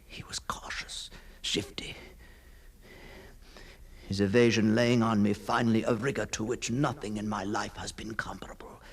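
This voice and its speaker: A man's voice: fairly low pitch, fairly even intonation, moderate pace, long pauses. An actor playing an obsessed, brooding man who stalks apparitions, telling his ghost story in a theatrical, intense monologue. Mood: secretive, tense. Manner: theatrical, hushed passages swelling into fervent declamation. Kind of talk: dramatic first-person ghost story monologue.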